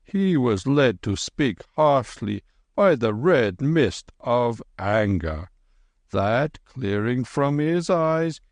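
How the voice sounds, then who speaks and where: A man voicing an old, gentle holy man who speaks in dignified, archaic phrases. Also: medium pitch, no room sound. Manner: solemn, archaic, dignified speech.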